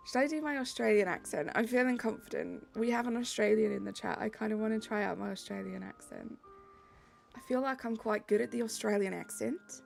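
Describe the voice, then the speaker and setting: Bubbly British woman with a gravelly edge. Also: fairly high pitch. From Britain. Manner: chatty and bubbly, reacts to chat messages. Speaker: streamer. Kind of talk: casual live-stream chat, reading chat aloud. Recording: light music behind the voice.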